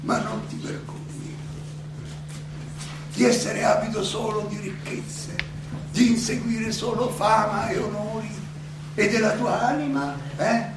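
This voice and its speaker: An actor playing a defiant philosopher on trial in a theatrical monologue, declamatory and halting, with rhetorical questions, in an echoey, noisy recording. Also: male voice, high-pitched, over music. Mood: reproachful, exhorting. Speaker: stage actor and director. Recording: echoey hall, distant mic, clearly noisy.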